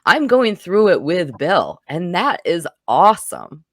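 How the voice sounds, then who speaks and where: A woman's voice: fairly low pitch, sing-song, unhurried, fairly bright tone, dry recording, studio quality. A woman hosting a podcast, chatting casually and recounting a film in detail. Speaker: podcast host. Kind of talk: casual film review chat.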